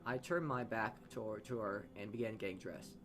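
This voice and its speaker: A man's voice: fairly low pitch, a little fast, fairly bright tone. A man reading a visual novel's narration aloud as a let's-player, often stumbling and rereading phrases.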